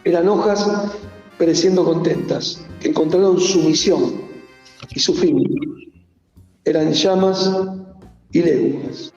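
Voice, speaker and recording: A man from Argentina recites poetry in a measured way, breaking it into short phrases. His voice is slow, mellow and creaky. It rings through a large, echoey hall. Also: a little high, faint music behind. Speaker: poet reading his own work. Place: on stage in a theatre. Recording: muffled, echoey theatre hall recording.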